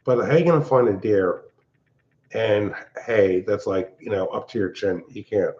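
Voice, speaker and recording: An older man, hosting a casual livestream from his open-plan home, talking in a loud, blunt, rambling way. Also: fairly low pitch, moderate pace, dull audio. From Pennsylvania. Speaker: livestream host. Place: large open-plan house at night.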